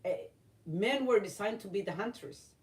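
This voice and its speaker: A woman from Somalia, giving earnest opinion commentary to camera, halting with many fillers and restarts. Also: mid-range voice, a little mellow, far from the mic. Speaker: vlogger. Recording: roomy, voice from off-mic.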